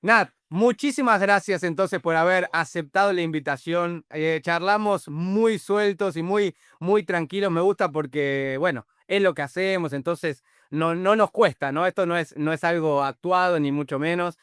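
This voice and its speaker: Guy hosting a chat, high smooth voice, rambly, bits of portuguese creep in, dry sound. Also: moderate pace, few pauses, a bit bright, no room sound. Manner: rambling, mixes in Portuguese words. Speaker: podcast host. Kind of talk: casual interview chat.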